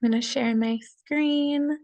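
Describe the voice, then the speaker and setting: A young woman walks through a class slideshow over a video call, chatty and quick to laugh, dropping fillers as she goes. Her voice sits a little high and has a gravelly fry, and she takes her time. The sound is dry and close, with no room around it. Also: fairly even intonation, a little mellow, no echo, highs cut off. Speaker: student presenting a class assignment. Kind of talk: casual slideshow presentation.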